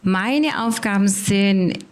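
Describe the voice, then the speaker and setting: A woman's voice: mid-range voice, takes it slowly. A woman, the leader of a children's holiday program, introducing herself and speaking directly to the kids in an upbeat way. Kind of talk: interview self-introduction.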